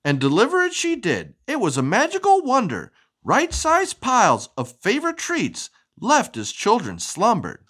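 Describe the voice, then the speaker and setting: A woman reading a storybook aloud to a young child in an expressive, sing-song way. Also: a little high, moderate pace, hardly any pauses, breathy edge. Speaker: storybook reader.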